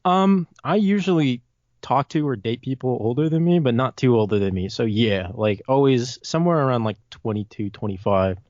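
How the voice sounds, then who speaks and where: A man of twenty talks as a guest on a casual podcast, blunt and informal. His pitch is average for a man. The sound is very dry and a touch dull. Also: animated, normal speed, darkish tone, no echo. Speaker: podcast guest, video maker.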